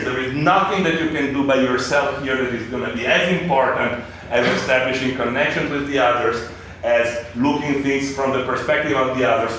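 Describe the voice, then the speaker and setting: Male seminar leader, informal and halting, repeats himself. Roomy, distant sound with faint noise. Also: medium pitch, a bit bright. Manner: halting, repeats words, frequent pauses. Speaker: lecturer leading a seminar. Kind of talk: informal unscripted classroom address. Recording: echoey room, distant mic, background noise.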